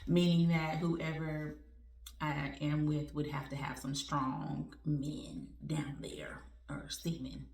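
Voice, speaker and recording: A woman in her forties talking candidly and warmly to her viewers in a casual, self-joking way. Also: low-pitched, little pitch movement, takes it slowly, vocal fry, roomy sound, recorded from a distance. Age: 40s. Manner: candid, conversational, laughs at herself, uses y'all. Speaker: vlogger. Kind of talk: personal heartfelt talk to viewers.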